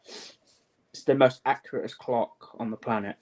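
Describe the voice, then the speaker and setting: A man's voice: medium pitch, fairly even intonation, unhurried, darkish tone. A man chatting casually on a live stream in an easygoing, agreeable, offhand way. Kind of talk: casual chat.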